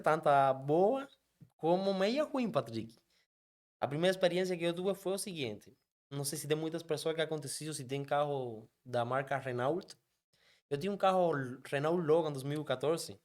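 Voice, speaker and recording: A man from Cuba, a native Spanish speaker with a Cuban accent, telling a story in a casual interview and slipping Spanish words into his Portuguese. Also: fairly high pitch. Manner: animated, quick, mixes Spanish words into Portuguese. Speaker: guest, app driver.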